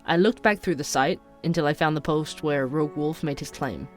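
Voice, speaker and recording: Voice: medium pitch, brisk, close to an everyday voice. An actor as a young night-shift podcaster in an audio drama, with a mid-range voice. The delivery is inquisitive and skeptical, confiding each detail like a private investigation log, with music underneath. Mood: investigative, musing.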